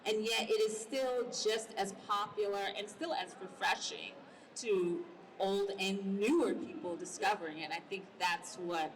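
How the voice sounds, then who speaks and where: A woman's voice: mid-range voice, moderate pace. A woman moderating a live convention panel as an enthusiastic fan, speaking to guests and audience. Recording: distant noisy convention hall microphone.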